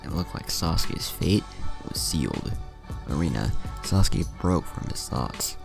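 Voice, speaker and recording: A man with a gravelly voice, narrating fan fiction dramatically as a storyteller. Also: a bass voice, expressive, a little slow, music in the background.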